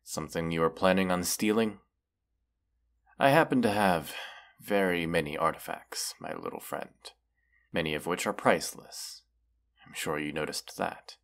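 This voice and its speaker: A man's voice: low-pitched, creaky, a bit bright. A man playing a proud, menacing, weary dragon who distrusts humans, talking haughtily in a fantasy audio roleplay. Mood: scornful. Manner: slow, deliberate, haughty, with long pauses. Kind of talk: scripted audio roleplay monologue.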